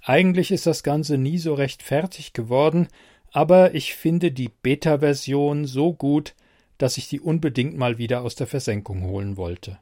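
A man's voice: on the high side, moderate pace, a little mellow, dry recording, clean studio sound. A man hosting a podcast, giving an explanatory introduction in a narrating style. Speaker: podcast host.

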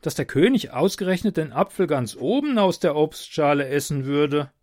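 A man voicing a scrawny, bossy male court jester in a storybook reading, with a high, mocking put-on voice. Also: lively intonation, normal speed, a little mellow, lightly acted.

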